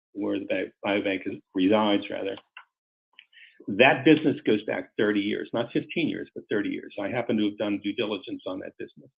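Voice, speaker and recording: A middle-aged or older man, a company executive, speaking earnestly and deliberately to investors on a conference call, formal but halting, often repeating words. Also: lowish voice, creaky. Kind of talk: semi-scripted remarks to investors on a conference call. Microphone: conference call line. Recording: dull, lo-fi conference call audio.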